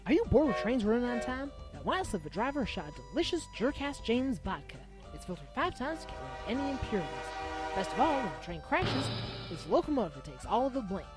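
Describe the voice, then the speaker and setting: A woman's voice: fairly high pitch, very animated, fast talker. A woman playing an upbeat commercial announcer in a parody advert. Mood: salesy. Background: music under the voice.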